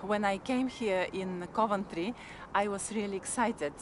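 A language teacher from Bulgaria, a woman with a Bulgarian accent, giving a halting personal speech. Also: mid-range voice, noisy background.

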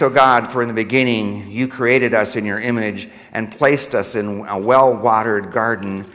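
An American man speaking as a pastor leading worship, reading liturgy to a congregation in a measured, solemn manner. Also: mid-range voice, a bit bright. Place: church sanctuary during a service. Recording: reverberant church hall.

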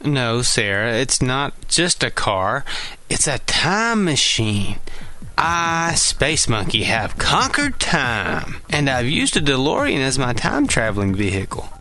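Man playing a proud, creaky-voiced amateur inventor. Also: medium pitch, big pitch swings, takes it slowly, bright, forceful tone, full character voice. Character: earnest, proud amateur inventor of a time machine. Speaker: podcast sketch performer. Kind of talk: amateur audio comedy sketch.

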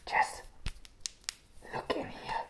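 A young man playing a crazy, eager circus clown in a whispered ASMR roleplay, breathy and obsessively repeating words. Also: drawn-out words, a bit bright. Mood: eerily eager.

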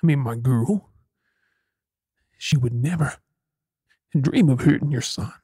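Casting: male actor as troubled young Australian man, earnest and remorseful, mellow husky delivery for ASMR drama, dry close sound. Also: medium pitch, slow, dry recording, exaggerated voice. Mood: remorseful, hushed. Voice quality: husky, breathy. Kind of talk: ASMR audio drama acting.

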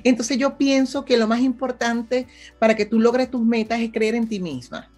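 Venezuelan lady, about fifty, chatty interview guest, keeps asking "ves?", soft music way back behind her. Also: fairly high pitch, moderate pace. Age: 50s. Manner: animated, often checks in with "¿ves?". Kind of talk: casual conversational interview.

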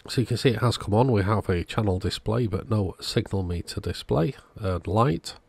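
A man's voice: fairly low pitch, moderate pace, creaky, darkish tone, slightly rough. A man narrating a repair job in a casual, matter-of-fact, understated way. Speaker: host of electronics repair channel.

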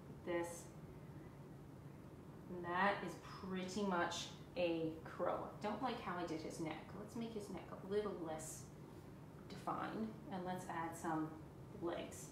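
A woman in her thirties teaching a live painting tutorial, chatting and encouraging viewers as she works on the floor beside a cabinet. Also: lowish voice. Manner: chatty and encouraging, talks to viewers while working. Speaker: furniture painting instructor. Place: room indoors, seated on floor by a cabinet. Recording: echoey room with background noise.

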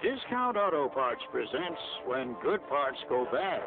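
Lively male ad announcer over music. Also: mid-range voice, measured pace. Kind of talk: dramatic radio ad narration. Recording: tinny radio ad over music.

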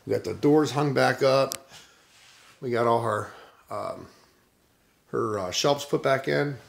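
Middle-aged contractor, gravelly and plain-spoken, phone video in echoey empty rooms. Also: male voice, mid-range voice, takes it slowly. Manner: casual, plain-spoken, upbeat. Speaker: renovation contractor. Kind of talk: walkthrough vlog. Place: house under renovation, indoors. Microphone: phone camera, handheld while walking.

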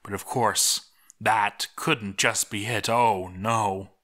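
A man's voice: fairly low pitch, steady tone, takes it slowly, sharp tone. A man playing a doomed dwarf diarist, bitter, sardonic and sweary, unravelling as he reads out his journal. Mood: grim, bitter. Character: doomed male dwarf expedition diarist, bitter, cracking into madness. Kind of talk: dramatic journal reading.

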